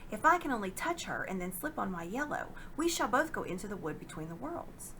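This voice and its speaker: Storybook boy hero, voiced by a woman: young, worried, musing, bright animated tone. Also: mid-range voice, brisk, slight background noise. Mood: anxious musing. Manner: musing, anxious, earnest.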